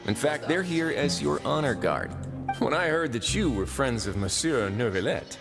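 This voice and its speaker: An actor playing a composed, courteous prison duke in an anime game, with a polished, wryly amused way of talking. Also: male voice, medium pitch, big pitch swings, normal speed, creaky, a bit bright. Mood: smooth, wry. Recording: game music behind.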